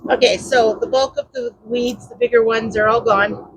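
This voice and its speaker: A woman chatting loudly while she works in her garden, explaining her steps as she digs. Her mic is clipped to a nearby trellis, and she rambles and hunts for words. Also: on the high side, steady tone, normal speed, background noise. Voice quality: loud voice. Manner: talks while digging in the garden. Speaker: gardening vlogger. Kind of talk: casual how-to narration while working. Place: outdoor garden.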